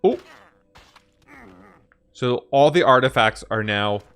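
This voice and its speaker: A man's voice: medium pitch, lively intonation, slow, a bit bright, clean tone. A man hosting a gaming reaction, commenting casually and thinking aloud in questions as he watches.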